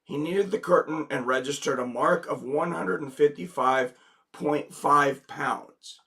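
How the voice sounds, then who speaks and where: A man's voice: on the high side, unhurried, vocal fry, sharp tone. A man with a slight head cold, hosting a casual live stream, greeting viewers in the chat and reading a news article aloud. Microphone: desk microphone.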